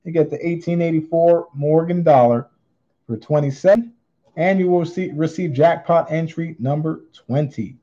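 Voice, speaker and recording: A man hosting a live online auction, calling bids with theatrical, drawn-out singsong phrases. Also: on the high side, mellow, muffled recording. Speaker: online auctioneer, channel host. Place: indoors at a tabletop display.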